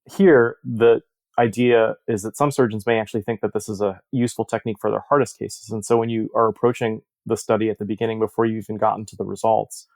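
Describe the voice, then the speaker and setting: A man speaking as an interview guest, explaining research in a measured, step-by-step way. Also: lowish voice, flat delivery, creaky, darkish tone, no room sound.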